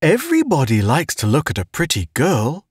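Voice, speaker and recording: Man playing high-pitched, gleeful, teasing spaceman buddy. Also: sing-song, unhurried, no echo, studio quality, heavily acted. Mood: sly, knowing. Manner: gleeful, teasing, folksy wisecracking. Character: jovial, needling, giggly spaceman pal, personal affairs officer. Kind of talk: audiobook character voice.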